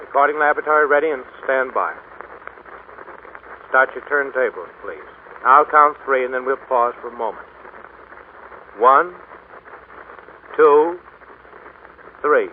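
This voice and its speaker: A man presenting scripted narration on 1930s radio, solemn and deliberate with emphatic enunciation. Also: medium pitch, expressive. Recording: tinny, faintly noisy 1930s radio broadcast.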